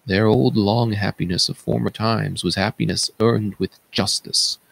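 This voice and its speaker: A man voicing a solemn palace attendant who recounts horrors in a declamatory stage-tragedy reading, with dramatic pauses. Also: fairly low pitch, steady tone, creaky, fairly bright tone, slightly rough, heavily acted.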